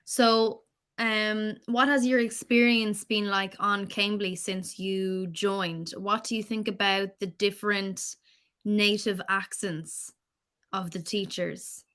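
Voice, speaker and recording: A woman's voice: medium pitch, steady tone, takes it slowly, fairly bright tone. A woman from Ireland, tutoring English in a patient, encouraging way and asking follow-up questions. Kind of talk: online tutoring conversation.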